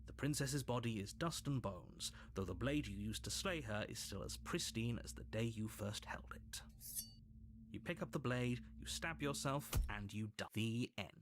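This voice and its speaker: A man voicing a dry, condescending male narrator in a video game, speaking in a smooth, measured way. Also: medium pitch, slight background noise, natural voice.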